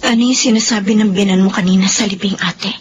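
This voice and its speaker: A woman acting as a soft-hearted, questioning younger sister in a Filipino drama. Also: medium pitch, fairly even intonation, fast talker, muffled recording, a little hiss or hum, natural voice. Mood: probing.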